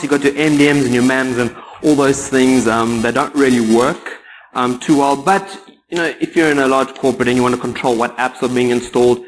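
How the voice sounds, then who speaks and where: A man's voice: mid-range voice, a little hiss or hum, low-quality recording. A man in his thirties with a South African accent gives a sarcastic, joking conference talk in a lecture room. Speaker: conference speaker.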